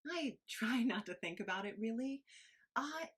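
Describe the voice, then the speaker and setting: Flustered female podcaster, reluctant sidekick, read by an actress. Animated, hesitant, anxious delivery for audio drama. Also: a little high, moderate pace, husky. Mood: wry, resigned. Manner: hesitant, stammering, protesting.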